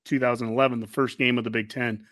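A man's voice: mid-range voice, level tone, fast, fairly bright tone, no echo. Podcast host, American man in his forties, enthusiastic and rambling sports talk, very dry recording. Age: 40s. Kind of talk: casual sports chat.

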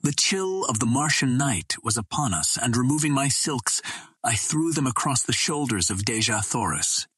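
A man reading an adventure novel aloud as first-person narration, in a measured, earnest literary manner. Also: mid-range voice, animated, a bit bright, breathy edge, no room sound. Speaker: audiobook reader.

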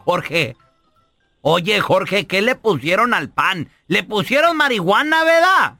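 A high, creaky old voice drawls slowly through fits of giggles, a stoned prank caller played by a man dropping English phrases between laughs. He talks in a slow, sleepy way. Music plays loudly underneath. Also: very animated, no room sound, clean studio sound, exaggerated voice. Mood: giggly stoned. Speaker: prank caller on a radio show.

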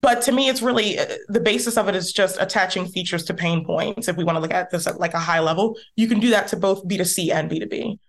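A woman guest on an interview podcast who explains her work in a lively, emphatic conversational manner. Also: lowish voice, fast, hardly any pauses. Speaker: podcast guest, content strategist.

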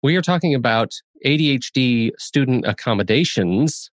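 A man hosting a podcast in a casual, chatty way, expressive and playfully dramatic in his emphasis. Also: medium pitch, normal speed, dry recording. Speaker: podcast host.

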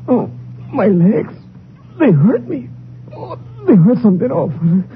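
An actor playing a violent, agitated criminal who talks with menace in a 1950s radio crime drama. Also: male voice, sits high, big pitch swings, unhurried. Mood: pained. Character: violent criminal, wild and threatening, later wounded. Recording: tinny, very noisy old radio recording.

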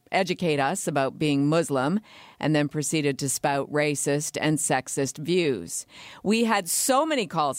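A woman's voice: sits low, no echo. A woman with a Canadian accent presenting a radio phone-in as its host. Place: radio studio.

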